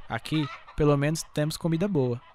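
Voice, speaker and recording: An actor plays a careful older brother on a farm in a radio drama. The voice sits at a middle pitch and is practical and restrained, with a little background noise. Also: fairly quick, a little mellow, lightly acted. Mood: resigned. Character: cautious, sensible, caring older brother, a farm boy.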